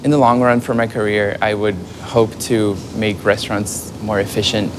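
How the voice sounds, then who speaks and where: A man's voice: fairly low pitch, little pitch movement, moderate pace, background noise. A man in his twenties from Brazil, talking candidly and laughing in a documentary interview. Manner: candid, laughing, chatty with fillers. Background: soft music score.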